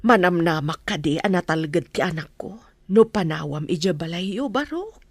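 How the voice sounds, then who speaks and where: A woman's voice: lowish voice, expressive, normal speed, dry recording. A woman with a Filipino accent playing an outspoken, gossipy village mother in a comedy radio drama. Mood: probing, motherly.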